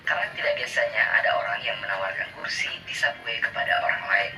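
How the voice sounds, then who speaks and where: A man's voice: medium pitch, fast. A man narrating a first-person travel story in a formal, evenly read style. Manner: even, formal read-aloud delivery. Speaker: narrator of a played video. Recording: distant voice with music behind.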